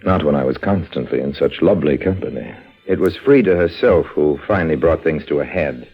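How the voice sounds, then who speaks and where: A man's voice: very deep voice, expressive, a little fast, slightly rough, exaggerated voice. An actor in an old radio drama playing a wry American con man, urbane and understated, with an American accent. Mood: wry, reflective. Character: smooth, wry American con man, first-person narrator. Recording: dull vintage radio recording, music behind.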